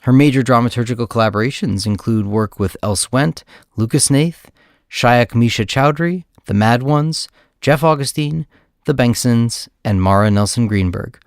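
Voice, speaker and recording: A man hosting an audio play series, reading a scripted introduction in a measured, clearly phrased way. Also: mid-range voice, no room sound, clean studio sound. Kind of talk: scripted introduction, read aloud.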